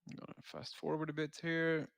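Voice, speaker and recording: A man's voice: on the high side, completely flat delivery, brisk, vocal fry, fairly bright tone, no echo, clean studio sound. A man giving casual, laid-back gameplay commentary as a raid leader, explaining tactics as he goes.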